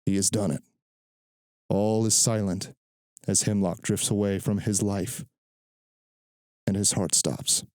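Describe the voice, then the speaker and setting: A man reading literary fiction as a narrator, in a measured, dramatic storytelling delivery close to the microphone. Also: on the low side, steady tone, a little mellow, dry recording.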